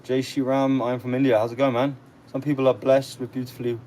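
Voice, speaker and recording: A young man with a London-area English accent hosts a casual livestream. His voice sits at a middle pitch, and his talk is chatty and easygoing. Ambient noise is audible behind him. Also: level tone, fast talker, darkish tone. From near London, England. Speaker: livestream host. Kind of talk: casual livestream chat, reading out comments. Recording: clearly noisy live stream with ambient sound.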